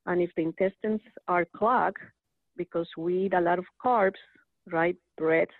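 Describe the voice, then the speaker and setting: A woman's voice: lowish voice, measured pace, dry recording. A woman from Rica, a guest talking earnestly and eagerly in a casual chat, often restarting her words. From Costa Rica. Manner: earnest, animated, with frequent restarts. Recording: tinny phone line, dry.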